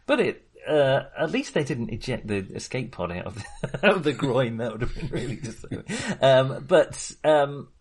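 An enthusiastic man co-hosting a casual film chat. His pitch swings around in a lively way, and his voice has a slightly rough, husky edge. He is jokey and often wanders off on tangents. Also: moderate pace. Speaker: film podcast co-host.

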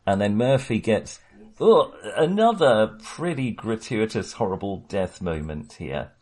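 Male podcast host, low husky voice, rambling film chat. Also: sing-song, unhurried, darkish tone. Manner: rambling, hesitant, chuckles often. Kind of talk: casual film discussion.

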